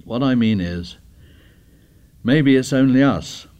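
A man's voice: medium pitch, animated, takes it slowly, a little mellow, smooth, muffled recording. A man reading aloud, voicing a shy boy who speaks hesitantly and gropes for words.

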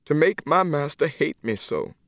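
A heavy, grieving old woman from the American South, put on by a man reading aloud, pleads in a high, drawn-out voice. Her words swing widely in pitch and stretch long with sorrow. The sound is close and dry. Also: wildly swooping pitch, no room sound, full character voice. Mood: grieving, tearful. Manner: slow, drawn-out, tearful pleading.

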